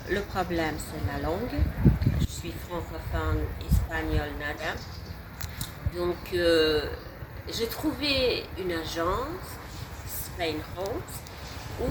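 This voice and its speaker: A middle-aged woman from Poland with a Polish accent, speaking as a non-native and giving an unscripted client testimonial, halting and emphatic, often restarting and repeating words. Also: fairly low pitch. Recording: steady background noise.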